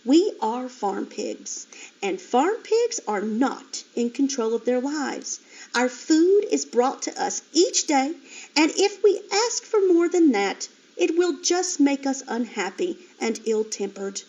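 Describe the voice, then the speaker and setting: A woman's voice: sits high, very animated, slow pace, a little mellow, voice pushed up, heavily acted. A woman voicing a patient, motherly sow who explains things to her piglets. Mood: soothing. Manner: soothing, explaining. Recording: dull lo-fi recording.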